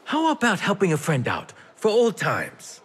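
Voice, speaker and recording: Lively high-pitched old merchant. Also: male voice, moderate pace, breathy edge, heavily acted. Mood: wry, grumbling. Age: older. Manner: theatrical, exclamatory, teasing. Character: lively old Chinese tea merchant, wily and affectionate. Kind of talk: video game character acting.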